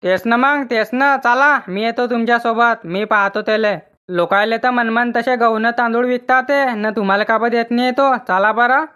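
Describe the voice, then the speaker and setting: A man's voice: very high-pitched, normal speed, pushed and bright, clear, resonant tone. A man voicing an argumentative, grumbling village husband in a comic cartoon, with a rural Maharashtrian accent. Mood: indignant, argumentative.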